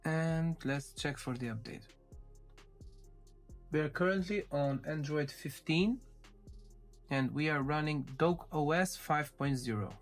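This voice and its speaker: Higher-pitched man narrating a casual tech walkthrough. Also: unhurried, long pauses, soft background music. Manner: informal, step-by-step narrating while handling a device. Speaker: tech reviewer. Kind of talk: casual unboxing walkthrough commentary.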